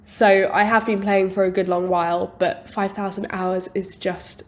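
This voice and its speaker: A young woman talks through her build in a relaxed, chatty way. Her voice is still slightly croaky from an illness. She pauses with small fillers and leans on the word "quite" a lot, the way a home-recorded voice-over does. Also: medium pitch. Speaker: game building youtuber. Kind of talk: voice-over commentary on a building video.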